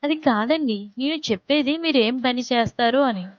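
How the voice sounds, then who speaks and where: A woman playing a customer care caller who politely and patiently delivers a sales pitch over the phone. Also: a little fast, dry recording, lo-fi sound. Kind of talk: scripted sales phone call.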